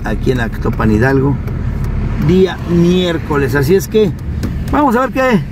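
Mexican man, higher-pitched, over loud road noise. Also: expressive, moderate pace. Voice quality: slightly congested, nasal. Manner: chatty, enthusiastic, addresses viewers familiarly. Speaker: travel vlogger, host. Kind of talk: casual travel vlog commentary. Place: moving car on highway, some outdoors. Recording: heavy car and road noise, distant mic.

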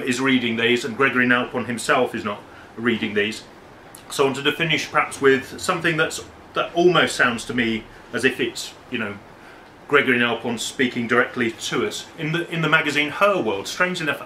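Bright-voiced man reading aloud in a bookshop. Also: medium pitch, slight background noise. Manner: hesitant, self-correcting asides between read passages. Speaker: academic reading at a book launch. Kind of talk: public reading with casual asides. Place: bookshop, indoors. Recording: roomy echo from far off.

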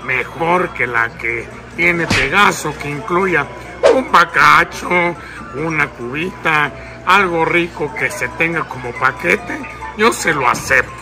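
A man putting on a boozy, courteous old-time male crooner, drawling politely and sometimes crooning, in a prank phone call. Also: fairly high pitch. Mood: courteous, naive. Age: young adult. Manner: slow, drawling, polite, breaking into song. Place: large public lounge indoors. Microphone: camera at arm's length. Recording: music playing behind.

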